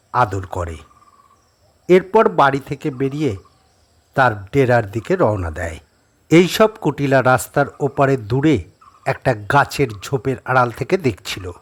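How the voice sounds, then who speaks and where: Husky, animated male storyteller. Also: medium pitch. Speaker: story narrator. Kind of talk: dramatic scripted horror story narration.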